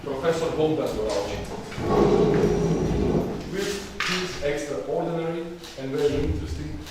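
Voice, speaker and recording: Dean doing formal welcome, echoey room, background noise. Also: male voice, a little high, lively intonation, a little slow. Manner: formal, reads greetings and introductions. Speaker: dean of the faculty. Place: university lecture hall. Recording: echoey hall from far off, noisy.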